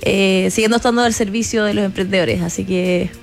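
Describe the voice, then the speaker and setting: A woman's voice: medium pitch, steady tone, a little fast, vocal fry, soft background music. A woman co-hosting a talk program, speaking effusively and rambling, with many fillers and restarts. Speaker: co-host, program leader. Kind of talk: casual talk-show chat.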